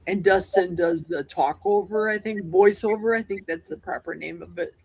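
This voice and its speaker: An enthusiastic speaker with a husky, breathy voice at a middle pitch. Casual livestream chat, quick to laugh. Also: darkish tone, no room sound.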